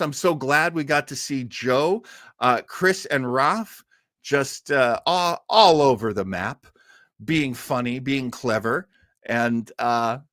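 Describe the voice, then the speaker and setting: A man's voice: mid-range voice, a bit bright, no room sound, studio quality. An American man hosting a live show in a casual, chatty way, joking and rambling off into asides as he talks to his viewers. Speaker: live stream host of an old-time radio show.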